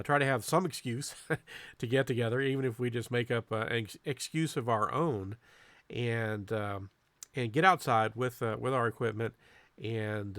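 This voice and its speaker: A man giving a casual, unscripted club video narration as presenter, in a halting way with frequent pauses and repeated words. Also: mid-range voice, sharp tone, no echo. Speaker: amateur radio club presenter.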